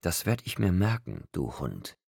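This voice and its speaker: A man's voice: deep voice, big pitch swings, a little slow, creaky, darkish tone, breathy edge, no echo, clean studio sound, heavily acted. A man voicing a haughty, cold blonde woman with an emphatic, contemptuous manner in a story. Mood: cold threat.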